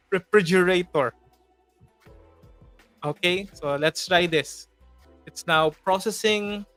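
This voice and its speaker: A man's voice: high-pitched, slow pace, pauses often, fairly bright tone, no echo, soft background music. A man with a Filipino accent hosting a live screen-share tutorial, chatty and full of fillers, with joking asides to viewers. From Philippines. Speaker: host.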